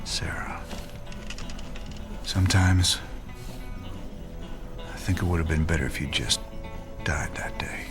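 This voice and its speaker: An actor playing a stubborn, brooding rebel outlaw in a game cinematic, with a rough American drawl and a dry, determined manner. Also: male voice, very deep voice, slow, pauses often, whispered, music in the background, heavily acted. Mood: brooding regret. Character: brooding rebel outlaw, former frontier marshal, stubbornly defiant. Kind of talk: video game cinematic acting.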